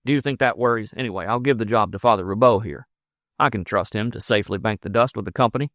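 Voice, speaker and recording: A man voicing a belligerent but ardent gold-rush miner in an audiobook, forceful, emphatic and coaxing. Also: on the low side, fast talker, no echo, clean studio sound, close to an everyday voice. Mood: reassuring, determined. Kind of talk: audiobook character dialogue.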